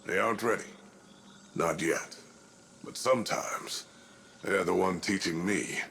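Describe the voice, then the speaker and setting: Deep, gravelly veteran hero. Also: male voice, unhurried, a bit bright, slight background noise, heavily acted. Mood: earnest, resigned. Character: weary, kindly veteran augmented hero and mentor. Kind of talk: scripted video game dialogue.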